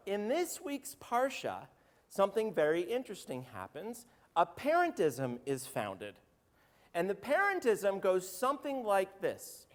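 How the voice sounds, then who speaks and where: A man, a rabbi with a slightly hoarse voice, giving a chatty, joking sermon and calling on congregants by name. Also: high-pitched, fairly bright tone. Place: synagogue sanctuary. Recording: roomy hall reverb.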